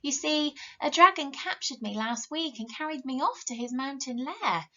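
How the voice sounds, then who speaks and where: A woman's voice: sits high, animated, moderate pace, bright, forceful tone, dull audio. A woman voicing a boastful, bossy young princess from a storybook, breezy, haughty and emphatic. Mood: boastful, brisk. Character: boastful, bossy young princess girl telling tall tales.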